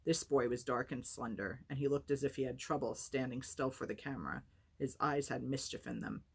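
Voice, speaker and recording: A woman with a low, husky voice hosting a storytime and narrating a book. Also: a little fast. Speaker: storytime reader and host.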